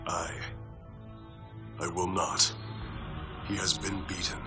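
An actor playing a grim, vengeful undead ninja warrior in a video game, gravelly and forceful. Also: male voice, low-pitched, flat delivery, takes it slowly. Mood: torn, reluctant. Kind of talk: dramatic video game voice acting. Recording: echoing voice under loud music.

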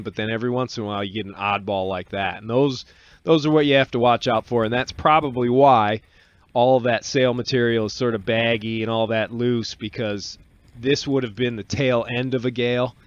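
A man in his forties giving a relaxed, casual voice-over on a sailing clip from a small cabin studio, talking into a desk mic. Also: medium pitch, dull audio. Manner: relaxed, explanatory, with restarts. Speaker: solo sailor vlogger. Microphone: desk microphone on boom arm.

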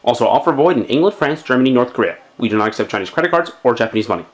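A man playing a rapid-fire announcer reading the fine print of a parody commercial. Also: mid-range voice, expressive, natural voice. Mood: brisk, official.

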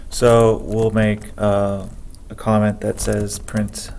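A man teaching a programming lesson over a screen recording, speaking slowly and haltingly as he reads code aloud. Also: on the low side, completely flat delivery, darkish tone, a little hiss or hum. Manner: slow, halting, dictates code aloud with many pauses. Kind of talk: screen-recorded coding tutorial.

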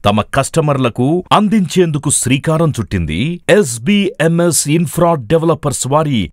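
Animated male ad announcer. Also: a little high, moderate pace, dry recording, clean studio sound. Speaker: advertisement voice-over announcer. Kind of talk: scripted promotional narration.

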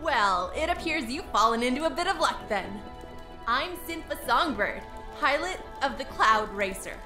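Actress doing a young lady airship pilot, highish voice, proud n breezy, audio drama w music behind. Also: animated, normal speed. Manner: proud, breezy, self-assured.

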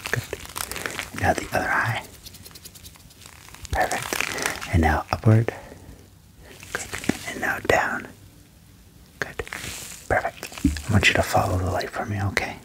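A young man doing a soft ASMR medical roleplay in a breathy whisper, slow, soothing and reassuring, close to the microphone. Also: deep voice, low-quality recording. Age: young adult. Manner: slow, soothing, reassuring, repeating short praise. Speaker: ASMR roleplayer playing an alien doctor. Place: small indoor room at home. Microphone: close desk microphone with furry windscreen.

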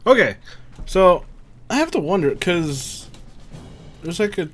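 A man giving casual, profane gameplay commentary, thinking out loud and reacting as he plays. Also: high voice, sing-song, takes it slowly, faint music behind. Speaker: gamer recording a playthrough.

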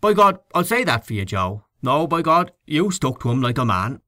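A man voicing a loud, blustering canvasser who argues with forceful emphasis, in an audiobook reading. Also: medium pitch, sing-song, moderate pace, no echo, pitched above the performer's own voice. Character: blustering middle-aged Irish political canvasser. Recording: dry close studio read.

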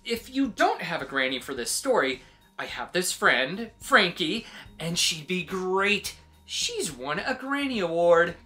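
A woman's voice: on the low side, sing-song, unhurried, bright, forceful tone, heavily acted. A woman voicing a chatty, meddling sheep with a hesitant, wheedling manner in a children's read-aloud. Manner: hesitant, wheedling, full of suggestions. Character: chatty, meddling, nervously cheerful storybook sheep. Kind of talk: animated children's picture-book read-aloud.

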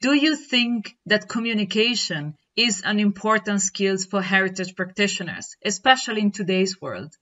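Woman lecturer on a webcam call, bright and pointed delivery, muffled lo-fi sound. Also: mid-range voice, animated. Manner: formal, measured questioning. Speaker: lecturer and interviewer. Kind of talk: video call interview. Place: home room with bookshelf. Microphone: video call webcam.

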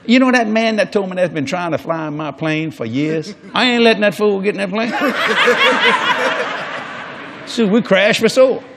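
A man's voice: high-pitched, animated, fairly quick, gravelly, noisy background. An older man preaching a sermon on a large church stage before a congregation, folksy and jokey, acting out quoted people in different voices.

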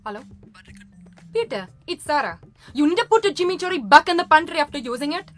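Woman playing a shrill housewife, scolding on the phone, bright lively voice. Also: fast, soft background music. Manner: scolding, loud.

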